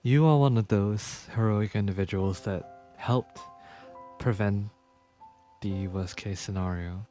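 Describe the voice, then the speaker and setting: A man giving casual, sympathetic playthrough commentary, often halting and repeating himself. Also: lowish voice, mellow. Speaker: streamer. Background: game music.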